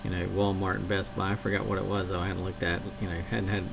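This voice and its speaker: Gravelly quick-talking man over radio static. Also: low voice, flat delivery. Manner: easygoing, technical talk. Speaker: amateur radio operator. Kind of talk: casual radio chat. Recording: hissy shortwave radio signal.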